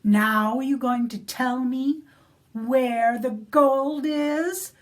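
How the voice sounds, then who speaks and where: A woman voicing a cranky farm girl who snaps out loud, bossy demands. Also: high-pitched, very slow. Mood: demanding.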